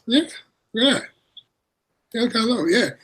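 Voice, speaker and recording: A man with a raspy voice chatting casually as a livestream co-host, rambling and repeating his words. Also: very high voice, expressive, a little slow, a bit bright. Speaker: co-host and moderator.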